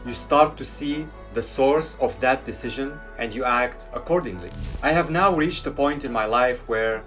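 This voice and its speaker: A man in his twenties from Syria talks in a calm, earnest, measured way at a mid pitch, like a scripted reflection. Clear guitar music plays steadily under his voice-over. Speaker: video essayist.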